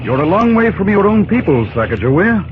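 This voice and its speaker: An actor playing a resolute, respectful army captain in an old-time radio drama, earnest and measured. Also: male voice, high voice, sing-song. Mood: composed. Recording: tinny, hissy old radio recording.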